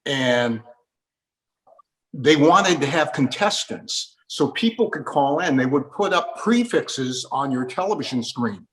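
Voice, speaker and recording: A man's voice: medium pitch, lively intonation, slow pace, slight background noise, rough recording. An older man hosting a conversational interview, reminiscing and explaining old broadcasts.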